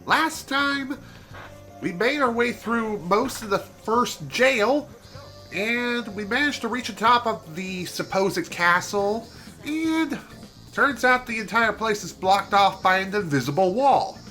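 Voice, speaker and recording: A man's voice: sits high, animated, slow, bright, forceful tone. A man chatting through a game stream in a casual let's-play style, his voice nasal and congested, with chatty self-deprecating asides. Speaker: streamer playing a video game. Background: game music.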